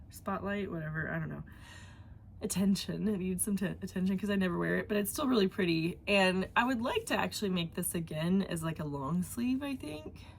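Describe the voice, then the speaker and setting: A woman's voice: lowish voice, vocal fry, slight background noise. A young woman hosting a knitting podcast from her home, chatting casually in a rambling way with fillers and a somewhat congested voice. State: congested from allergies. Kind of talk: casual chat.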